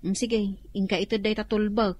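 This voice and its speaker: An actress playing a bossy, chatty village wife with an Ilocano accent, bickering and dismissive in a radio comedy drama. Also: female voice, medium pitch, little pitch movement, fairly quick, darkish tone, close to an everyday voice. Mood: bossy, irritated.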